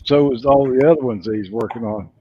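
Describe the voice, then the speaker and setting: A man's voice: mid-range voice, fast talker, slight background noise. A man co-hosting a casual live chat, easygoing and hesitant with lots of filler. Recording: dry, dull lo-fi audio.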